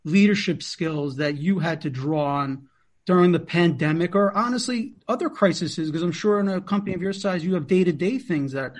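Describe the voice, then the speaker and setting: A man's voice: high-pitched, moderate pace. A man interviewing a guest, asking questions in an admiring, conversational way.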